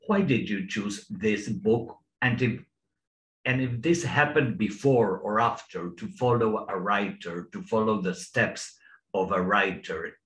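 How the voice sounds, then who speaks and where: An older man interviewing an artist over a video call, asking friendly, halting questions. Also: on the low side, a bit bright. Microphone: video call webcam. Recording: echoey, distant mic.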